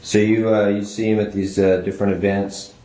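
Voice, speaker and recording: A man's voice: fairly low pitch, flat delivery, brisk, warm tone. A man working as a police interrogator, informally and methodically recapping and asking questions on an echoey, noisy recording.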